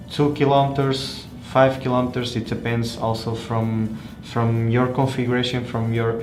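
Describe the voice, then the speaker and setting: A man presents a product hands-on with a flat, unhurried delivery. He speaks haltingly, searching for words and repeating them as he goes. The sound is noticeably roomy. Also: medium pitch. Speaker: tech reviewer presenting a phone. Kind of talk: unscripted hands-on product review.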